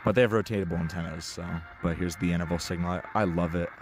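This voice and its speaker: Low, even-voiced teenage guy. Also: quick pace. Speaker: shortwave radio hobbyist narrating a bandscan. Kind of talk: casual spoken commentary. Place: outdoors at night. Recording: clear background noise.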